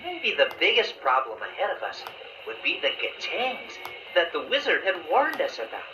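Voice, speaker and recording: A man playing a friendly storybook bear who narrates a children's tale in a measured way, with echoey playback. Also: on the high side, huge pitch swings, muffled recording, background noise. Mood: storytelling.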